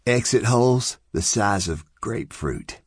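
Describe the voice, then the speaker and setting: A man's voice: lowish voice, expressive, unhurried, slightly rough, no room sound, clean studio sound, voice pushed up. A man doing a drunk, bitter woman. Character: drunk, vengeful woman with a gun.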